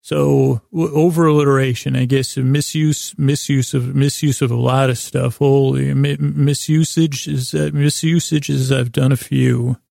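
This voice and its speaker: Male sleep-podcast host, heavy vocal fry, monotone, meandering and halting bedtime monologue. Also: medium pitch, dry recording, studio quality. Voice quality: gravelly, creaky. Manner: meandering, halting, pauses and restates himself mid-thought. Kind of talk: rambling bedtime monologue to help listeners sleep.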